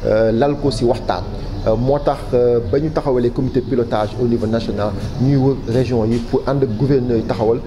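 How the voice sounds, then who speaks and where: A man with a Senegalese accent giving formal interview answers as a project official, halting often and repeating words. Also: mid-range voice, steady tone. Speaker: official spokesman for a public waste management project. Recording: clearly noisy background ambience.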